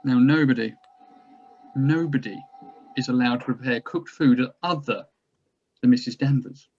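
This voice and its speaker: A man playing a courteous, hesitant government doctor with gentle authority in a drama table read, on slightly dull sound. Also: medium pitch, slightly rough. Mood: gently authoritative. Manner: halting, courteous, searching for words.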